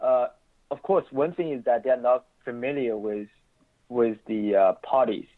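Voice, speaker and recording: A man's voice: mid-range voice, normal speed. Chinese-accented man on a thin, phone-like line. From Chinese-speaking region, immigrated to Canada. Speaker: host of a community media outlet. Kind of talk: conversational political interview.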